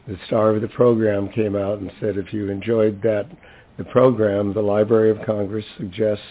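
A hoarse older man with a fairly low voice addresses a public event as its host. He is chatty and lightly humorous in an informal speech. The recording is thin and phone-like. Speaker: library center director introducing a speaker.

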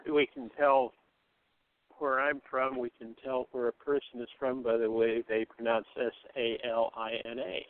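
A man in a casual group chat, speaking hesitantly and pausing to find his words. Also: medium pitch, level tone, no echo. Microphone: remote call. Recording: thin phone-like call audio.

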